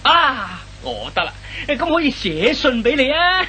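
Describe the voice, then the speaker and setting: Clueless boyfriend, radio comedy. Hong Kong Cantonese, flustered stammer, very animated pitch, forceful bright tone. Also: male voice, unhurried, exaggerated voice. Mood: flustered pleading. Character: bumbling dim-witted hapless boyfriend, pleading and flustered. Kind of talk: comic radio play acting. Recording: old radio recording with faint noise and hum.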